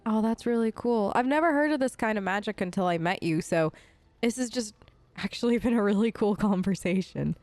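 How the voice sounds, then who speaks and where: A woman's voice: mid-range voice, expressive, fairly quick, darkish tone, dry recording. A woman improvising casual in-character chat for a role-play let's play, informal and slangy. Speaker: gamer role-playing her elf character.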